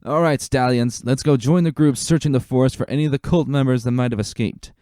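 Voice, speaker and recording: A man voicing a gruff veteran pony lieutenant, commanding and emphatic, in a dramatic story reading. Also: mid-range voice, a little fast, dark tone, no echo, clean studio sound, voice pushed up, natural voice. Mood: brisk, commanding.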